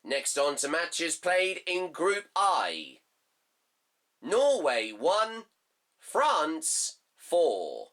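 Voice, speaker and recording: A slightly hoarse man hosting a fan football show, reading out results and previewing a match in a halting way, full of fillers and reading off his notes. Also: on the high side, wildly swooping pitch, a bit bright.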